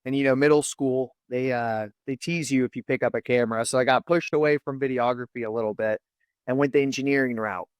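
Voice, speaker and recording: A man's voice: mid-range voice, steady tone, fast, dry recording. Upbeat Danish-American man, dry close mic. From United States and Denmark. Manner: enthusiastic storyteller, repeats "I'm" phrases. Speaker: podcast guest, video studio founder. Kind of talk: casual interview talk.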